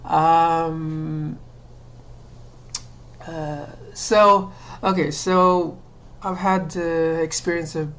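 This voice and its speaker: A woman's voice: low voice, fairly even intonation, extremely slow, a bit bright. A woman from Sri Lanka talking to camera in a casual monologue, hesitant, with many fillers and restarts. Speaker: vlogger.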